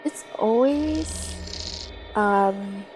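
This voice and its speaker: A woman's voice: mid-range voice, very slow, darkish tone, soft background music. A woman livestreamer giving casual, offhand, teasing commentary on a game.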